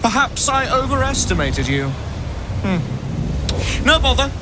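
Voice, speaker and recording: A scheming, pompous wizard for a fantasy game. He draws out his threats slowly and theatrically, with sweeping intonation, over loud ambient noise. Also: very high voice, sing-song, heavily acted. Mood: condescending menace. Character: arrogant, scheming, menacing wizard villain. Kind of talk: dramatic video game acting.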